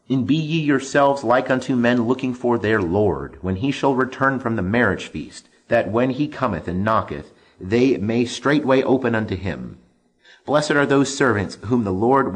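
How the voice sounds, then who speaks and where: A man with a husky, slightly rough voice reads scripture aloud as solemn narration. His delivery is measured and declamatory, with a lively rise and fall in pitch and emphatic phrasing at a middling register. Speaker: Bible reader.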